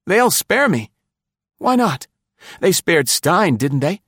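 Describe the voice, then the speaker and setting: Frantic, fearful middle-aged paranoid, strained and raised in pitch, loud and pressing, near tears. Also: male voice, big pitch swings, takes it slowly, breathy edge, no room sound, full character voice. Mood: pleading. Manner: frantic, pleading, close to sobbing.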